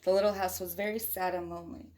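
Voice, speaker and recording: A woman's voice: lowish voice, level tone, quick pace, darkish tone. A woman reading a picture book aloud to young children in a conversational way, with chatty asides and questions to her listeners.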